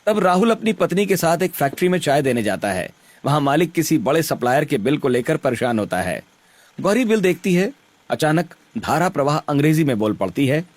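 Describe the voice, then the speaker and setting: Male narrator, scripted cartoon storytelling, fairly high voice, lively intonation, music behind. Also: brisk.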